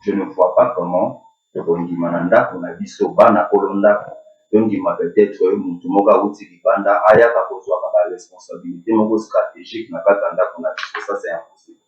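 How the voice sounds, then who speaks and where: A man's voice: low voice, steady tone, fairly quick. A man with a Congolese accent speaking as a panel speaker in a public debate, hesitant and full of restarts. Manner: switches between French and Lingala, hesitant, many restarts. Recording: roomy, distant, dull lo-fi sound.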